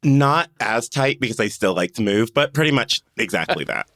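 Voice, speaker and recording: A man's voice: medium pitch, lively intonation, moderate pace, a bit bright, no room sound, clean studio sound. A man chatting casually as a guest on a podcast panel. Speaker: podcast guest, newsroom coordinator.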